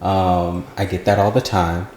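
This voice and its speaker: Slow, measured male podcast guest. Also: deep voice, flat delivery, darkish tone, roomy sound, a little hiss or hum. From Georgia, US. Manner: deliberate, measured, careful speech. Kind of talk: casual chat.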